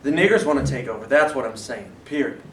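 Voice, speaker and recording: A man's voice: mid-range voice, expressive, quick pace, a bit bright. A man in a staged reading playing a blunt, stubborn, bigoted aide to a governor, speaking insistently. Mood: resentful. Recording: roomy, distant mic, faint noise.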